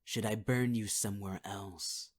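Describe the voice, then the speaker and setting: Husky low menacing man, half-whispering. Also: steady tone, measured pace. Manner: menacing, taunting, cruel. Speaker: voice actor in audio roleplay. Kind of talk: ASMR audio roleplay.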